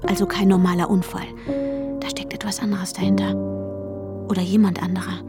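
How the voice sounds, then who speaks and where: Over a clearly audible music bed, an actress plays an anxious woman with an intense, hushed delivery. Her sentences are short and clipped, in the manner of a radio drama heroine who needs control. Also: mid-range voice, level tone, fairly quick, close to an everyday voice. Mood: tense, brooding. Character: anxious, controlling woman searching for her vanished fiancé, narrating. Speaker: protagonist and narrator. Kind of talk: radio drama inner monologue and dialogue. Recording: music under the voice.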